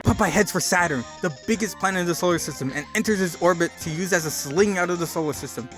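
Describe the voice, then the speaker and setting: A man with a high-pitched voice reads a story aloud as a dramatic narrator. His delivery is theatrical and emphatic, with music playing behind him. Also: fast talker. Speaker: narrator doing a dramatic reading. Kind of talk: dramatic reading of a written story.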